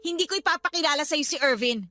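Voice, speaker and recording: High-voiced, defiant younger sister. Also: female voice, fast, bright, forceful tone, dry recording. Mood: bitter, defiant. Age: young adult. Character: defiant, hurt younger sister standing up to older sister. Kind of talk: Tagalog radio drama acting.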